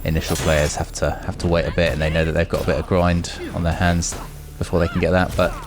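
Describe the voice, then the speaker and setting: A man's voice: sits low, monotone, quick pace, music in the background. A man hosting a gaming video, talking in a casual, chatty, rambling way as he gives his commentary. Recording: noisy with clear background noise.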